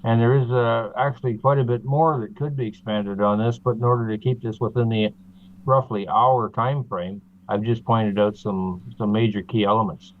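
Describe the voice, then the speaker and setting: A man from Canada, a guest in an interview, explaining steadily with frequent pauses and fillers over a video call. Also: fairly low pitch, fairly even intonation. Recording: tinny phone-like line.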